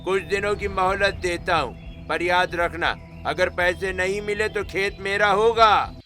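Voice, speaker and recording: A man's voice: high voice, fairly even intonation, a little slow, bright, forceful tone, faint music behind. A man voicing a greedy, domineering village landlord in a cartoon moral story, speaking in threats. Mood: threatening. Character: menacing greedy male village landlord and moneylender.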